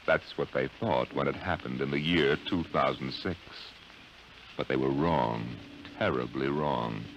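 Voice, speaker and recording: A man's voice: rumbling low, unhurried, vocal fry. A man announcing in 1950s radio style, with a dramatic, teasing delivery, heard on a noisy old broadcast recording.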